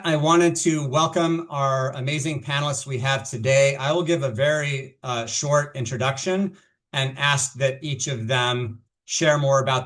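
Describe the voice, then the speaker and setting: Man hosting an online legal panel. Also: mid-range voice. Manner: steady, procedural, with frequent fillers. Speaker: webinar host. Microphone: video call.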